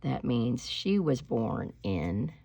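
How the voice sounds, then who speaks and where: A woman reading a storybook aloud like a teacher, with deliberate, expressive phrasing and instructive delivery. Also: rumbling low, vocal fry, husky, no room sound. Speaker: teacher reading a picture book aloud to pupils.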